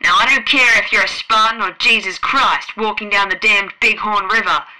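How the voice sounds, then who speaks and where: A woman's voice: fairly low pitch, unhurried, pushed and bright, low-quality recording. A woman voicing a blustering male vice admiral who barks commands over the radio. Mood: barking. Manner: barking, commanding.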